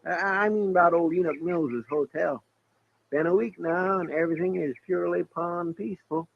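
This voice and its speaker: A man's voice: high-pitched, normal speed, creaky. A man acting an amiable marshal's deputy in a radio Western, with a deferential, homespun rural American drawl. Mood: chatty. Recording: muffled, noisy old radio recording.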